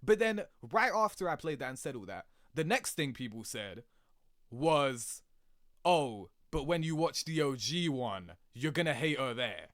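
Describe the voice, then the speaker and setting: A man giving casual, rambling reaction commentary in an animated, slangy, sweary way, laughing easily. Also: medium pitch, a little slow, no echo, studio quality. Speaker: video creator reacting to game cutscenes.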